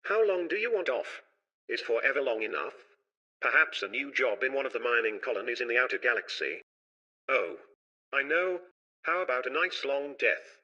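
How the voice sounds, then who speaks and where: A slightly dull recording carries a very forceful, piercing voice: a sardonic, menacing boss acted out by a man. His delivery is theatrical and swings widely in pitch. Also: big pitch swings, moderate pace, full character voice. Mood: mock-sweet menace. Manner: mocking, theatrical. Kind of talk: scripted audio drama. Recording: dull, band-limited sound.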